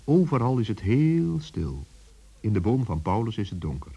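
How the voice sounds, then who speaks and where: A man's voice: lowish voice, sing-song, moderate pace, vocal fry, warm tone, slightly rough. A man narrating a children's story intimately, speaking directly to listeners and characters.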